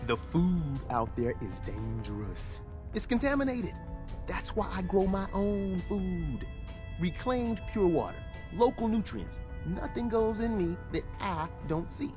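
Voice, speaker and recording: An actor playing an excitable, paranoid male gadget tinkerer who rambles conspiratorially in a video game. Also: high-pitched, measured pace, over music. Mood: earnest, suspicious. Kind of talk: video game dialogue acting.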